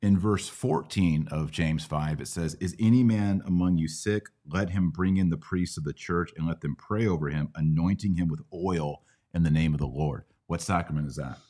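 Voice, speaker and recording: A middle-aged American man with a notably low voice. He talks like a podcast host and teacher, explaining informally and prompting his listener with quick questions. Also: dark tone. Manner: didactic, prompts with questions and rhetorical checks. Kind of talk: conversational live Bible Q&A teaching.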